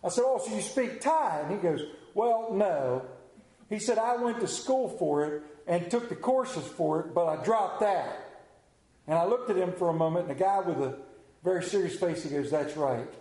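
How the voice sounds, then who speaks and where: A man preaching as a pastor to a congregation, speaking in a conversational, folksy way with deliberate pauses. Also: high-pitched, lively intonation, dark tone. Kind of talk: sermon with anecdote, scripture reading and prayer. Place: church during a service, also on video. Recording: roomy, echoing church sound.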